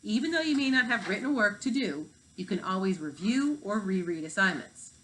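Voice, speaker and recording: An orderly woman schoolteacher acted by a reader explains things in a measured, instructive way. She is a storybook fifth-grade teacher. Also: mid-range voice, expressive, a bit bright, slight background noise, lightly acted, poor audio.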